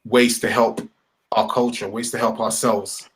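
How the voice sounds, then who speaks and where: A man with a mid-range voice talks in an animated, emphatic way, using plenty of slang and often repeating himself to drive a point home. He sounds like a co-host in a relaxed conversation. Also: mid-range voice, unhurried. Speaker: podcast co-host. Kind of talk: casual discussion.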